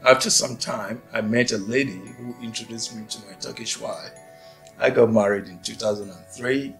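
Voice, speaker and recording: A man in his forties from Nigeria, a native Yoruba speaker, telling his life story in an interview with unhurried, thoughtful pauses. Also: lowish voice, steady tone, creaky, a bit bright. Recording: faint music behind.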